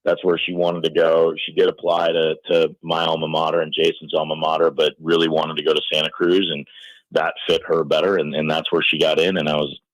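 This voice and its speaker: A man's voice: rumbling low, level tone, a little fast, no room sound, muffled recording. A middle-aged American man chatting casually as a radio interview guest, with a joking, self-deprecating manner.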